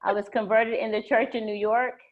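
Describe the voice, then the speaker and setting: A woman from the United States, a church member and math teacher, sharing casually on a video call in a soft, hesitant way with many fillers. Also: on the high side, level tone, fairly quick. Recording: muffled lo-fi video call audio.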